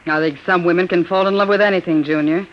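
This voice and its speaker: A woman's voice: lowish voice, steady tone, quick pace, slight background noise, close to an everyday voice, rough recording. A woman playing an anxious, wary gangster's moll in an old-time radio drama, hesitant and fearful, on a vintage recording. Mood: wary, placating. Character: jittery, fearful female moll, torn and wary.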